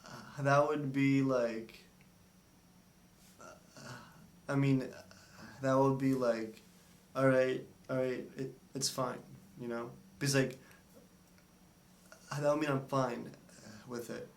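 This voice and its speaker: A young man who stutters, talking candidly in a therapy session, halting and hesitant with many fillers. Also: medium pitch, fairly even intonation, roomy sound, far from the mic, a little hiss or hum. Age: young adult. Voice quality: stutters, with blocks and repeated words. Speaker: speech therapy client. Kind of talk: candid interview talk.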